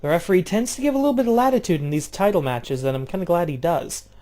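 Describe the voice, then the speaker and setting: A man doing casual play-by-play of a video game wrestling match, jumping between loud outbursts and low, offhand, swearing asides. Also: animated, fast. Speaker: play-by-play commentator.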